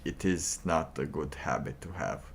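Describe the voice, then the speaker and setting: A man livestreaming a casual monologue in a halting, rambling way, with phrases that trail off. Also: a bass voice, lively intonation, creaky, a little hiss or hum.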